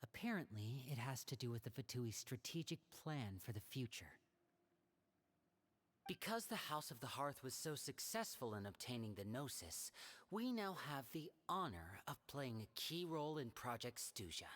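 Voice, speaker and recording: A composed young magician. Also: male voice, medium pitch, very animated, moderate pace, fairly bright tone. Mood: serious. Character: clever young man, a magician and an older brother. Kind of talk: video game dialogue.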